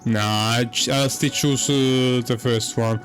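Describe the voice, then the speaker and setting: Man casually commentating a game, mid-pitched. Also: steady tone, fairly bright tone, soft background music. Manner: halting, thinking aloud with repetitions. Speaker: gaming streamer.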